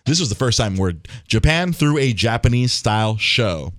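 An animated male host who rambles excitedly, with swearing, quick laughs and restarts. His voice moves around a lot in pitch, and the sound is dry and close with no room around it. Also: dry recording. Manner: animated, swears and laughs often, stumbles over words. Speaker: podcast host. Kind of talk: casual chat.

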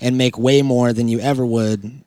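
A man's voice: medium pitch, fairly even intonation, normal speed, mellow, smooth, no echo, studio quality. A man in casual podcast conversation, agreeable and informal in the way he talks.

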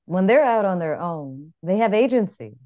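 A woman with an American accent, co-hosting a podcast in casual, animated chat. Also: medium pitch, normal speed. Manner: chatty, animated storytelling with frequent laughs. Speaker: podcast co-host.

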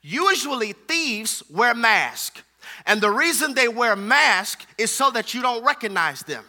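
A man's voice: very high voice, big pitch swings, unhurried, pushed and bright. An American man preaching a fervent sermon with emphatic, repetitive rhetorical phrasing.

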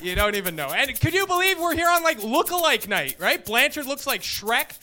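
Very high-pitched male stand-up comic. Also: big pitch swings, fairly quick, bright, forceful tone, soft background music. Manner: crude, conversational joke delivery. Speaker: stand-up comedian. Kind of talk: stand-up comedy set.

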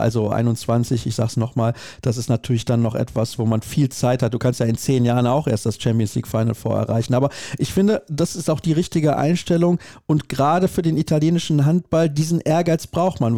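A man's voice: medium pitch, warm tone, dry recording, clean studio sound. A man hosting an interview, asking questions in a conversational way and repeating words for emphasis. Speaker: podcast host.

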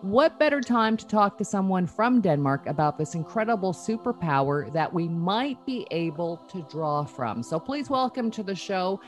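Female host, lively and varied intonation, conversational interview delivery, faint music. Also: on the low side, normal speed, hardly any pauses. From Canada. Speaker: show host. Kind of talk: chatty interview.

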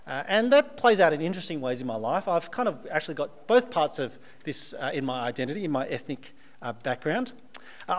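An Australian man delivers a sermon to a live audience, sounding relaxed and conversational. His voice sits a little higher than most men's, and he slips in dry jokes as he goes. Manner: conversational, with dry humorous asides. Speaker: preacher.

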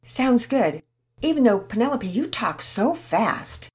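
An excitable young boy who can't hide his eagerness, as a woman puts him on. His voice jumps high and wide. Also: slow pace. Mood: eager.

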